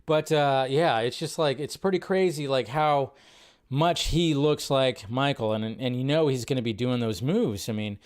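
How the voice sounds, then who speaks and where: A man hosting a casual live commentary show, excitable and chatty, with frequent fillers, restarts and mild swearing. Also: mid-range voice, normal speed. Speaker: show host.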